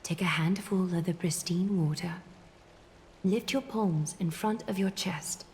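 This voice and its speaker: A woman playing a serene, solemn priestess in a video game, speaking slowly and formally with a breathy, sometimes whispering voice. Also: fairly low pitch, darkish tone, slight background noise. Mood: hushed, hypnotic. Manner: slow, deliberate, formal and commanding. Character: serene, solemn priestess guiding a sacred water ritual. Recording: background music under game dialogue.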